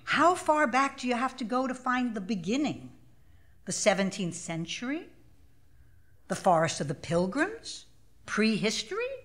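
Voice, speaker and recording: Woman, 70s, author reading onstage: slow, expressive, wide-ranging intonation, dry wit. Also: mid-range voice. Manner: measured, expressive, wry delivery. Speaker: author reading own essay. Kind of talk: literary essay reading for a live audience. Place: small theater stage, live event. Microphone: stage microphone.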